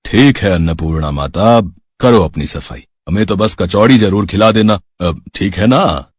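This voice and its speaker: A man voicing a good-humoured middle-aged Indian husband and father in a cartoon story, husky, coaxing and wry. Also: low-pitched, moderate pace, no room sound, studio quality. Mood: teasing, coaxing.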